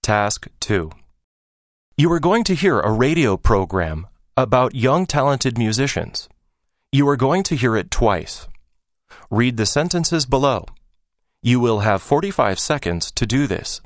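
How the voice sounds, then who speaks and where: An exam announcer reading scripted test instructions in a measured, formal manner. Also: medium pitch, big pitch swings, dry recording, studio quality.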